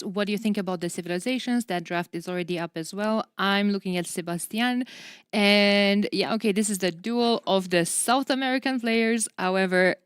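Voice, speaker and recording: Woman casting an esports tournament, non-native english, smooth voice, dry close sound. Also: on the low side, no room sound. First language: not English. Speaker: esports co-commentator. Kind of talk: live tournament commentary.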